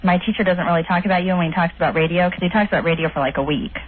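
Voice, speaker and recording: A woman's voice: on the low side, racing. A young adult woman, a student calling in, talking casually in an earnest, persistent way over a phone. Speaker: phone-in caller, college student. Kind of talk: casual, unscripted call. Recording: dull, faintly noisy phone call.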